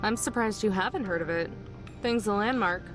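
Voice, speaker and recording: A woman's voice: moderate pace, over music, close to an everyday voice. Actress as a dry, guarded young woman. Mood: matter-of-fact. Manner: dry, low-key, offhand. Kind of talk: visual novel voice acting.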